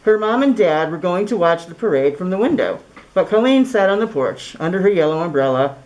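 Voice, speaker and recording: Middle-aged woman, storybook narration, expressive, deliberate, widely varied pitch, masked, indoor room. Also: rough recording. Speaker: children's story reader. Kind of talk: read-aloud storybook narration. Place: small indoor classroom. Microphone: camera a few feet away, speaking through cloth mask.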